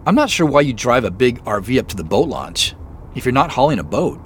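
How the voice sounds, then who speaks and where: A man plays an inquisitive amateur sleuth in an audio drama, easygoing and a little sheepish. His mid-pitched delivery swings up and down in lively fashion, with hesitant, conversational understatement. Faint vehicle noise runs behind him. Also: brisk, lightly acted. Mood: puzzled, earnest. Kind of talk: naturalistic audio drama dialogue.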